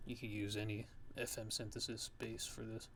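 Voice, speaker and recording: A man with a low, creaky voice talks through a tutorial casually. His delivery is very flat and halting, as if working things out aloud. There is clear noise in the recording. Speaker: music production tutorial host.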